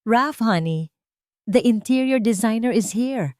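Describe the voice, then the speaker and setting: A woman's voice: on the high side, expressive, a little slow, a little mellow, dry recording, studio quality, voice pushed up. A woman voicing a cold, suspicious rich girlfriend in an audio drama, sweet but cutting and slipping into English. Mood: sweetly calling.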